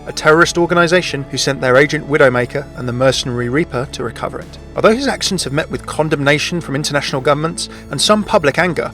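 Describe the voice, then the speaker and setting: Male gaming channel host, mid-pitched and quick, delivering scripted explainer narration over music. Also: fairly bright tone.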